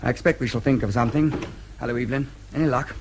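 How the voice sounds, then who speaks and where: An actor with a British accent playing a protective, politely defiant brother in a radio drama, husky, proper and firm. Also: male voice, medium pitch, racing, noisy background, natural voice. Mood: concerned.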